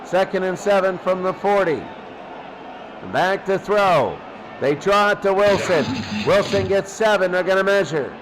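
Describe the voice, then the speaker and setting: A man calling football play-by-play as a radio announcer, in short clipped phrases that he often repeats. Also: measured pace. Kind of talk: American football radio commentary. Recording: noisy recording with vehicle-like rumble.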